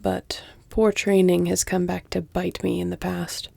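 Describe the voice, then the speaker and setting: Woman as a creaky-voiced, flirtatious ancient vampire lady. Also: fairly low pitch, level tone, a little mellow, dry recording, exaggerated voice. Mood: wry, composed. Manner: measured, teasing, formal, slipping into breathy near-whispers. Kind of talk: intimate audio roleplay, scripted dramatic acting. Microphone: close, dry studio-style mic.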